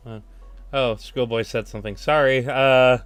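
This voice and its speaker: A man's voice: medium pitch, fairly even intonation, clean tone. A man hosting a work stream, chatting casually and hesitantly. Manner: hesitant, unhurried. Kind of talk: casual, halting livestream chat. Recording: faint music behind voice. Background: faint music.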